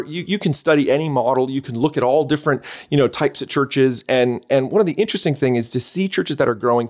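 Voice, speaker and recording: A middle-aged Canadian man talking quickly and brightly in a relaxed interview. His pitch sits in an ordinary middle range while he rattles through stories with lots of fillers and restarts. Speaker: podcast guest, church consultant. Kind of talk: casual interview chat.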